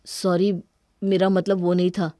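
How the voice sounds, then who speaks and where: A woman's voice: on the low side, level tone, unhurried, dark tone, dry recording, clean studio sound, lightly acted. A woman voicing a suspicious, bitter young husband in a cartoon family story. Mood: apologetic. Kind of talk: Hindi cartoon moral-story voice acting.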